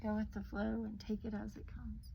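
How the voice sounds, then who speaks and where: A woman reading a children's story aloud like a teacher, expressively drawing out words and adding hushed, chatty asides. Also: mid-range voice, completely flat delivery, normal speed, gravelly, highs cut off. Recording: noticeable background noise.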